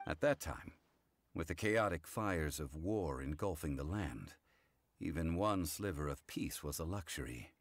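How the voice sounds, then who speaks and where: An actor playing a calm, learned gentleman in a video game, speaking formally and measuredly as he recounts old lore. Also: extremely low pitch, measured pace, dry recording, exaggerated voice. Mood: composed, solemn. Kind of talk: English video game voice acting.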